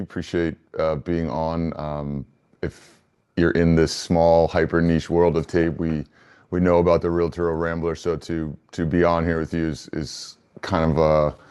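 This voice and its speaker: A man with a low, clean, resonant voice answers interview questions conversationally. He sounds earnest and measured, with little rise and fall in his pitch. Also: a little mellow, clear, resonant tone. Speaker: interview guest, business founder.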